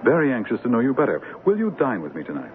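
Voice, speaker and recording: A man's voice: medium pitch, huge pitch swings, very fast. A jolly bossy king in a 70s radio play, super swoopy delivery, tinny noisy old broadcast. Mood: genial, expansive. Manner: hearty, imperious, regal. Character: jovial, impulsive king about to be crowned. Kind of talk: 1970s radio drama acting. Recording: noisy narrow-band vintage radio broadcast.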